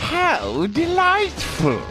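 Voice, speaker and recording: Pompous villain frog for a video game, theatrical and mock polite, super slow, voice swings all over. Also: male voice, extremely high pitch, wildly swooping pitch, over music, full character voice. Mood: mock-polite menace. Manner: pompous, drawn-out, theatrical delivery.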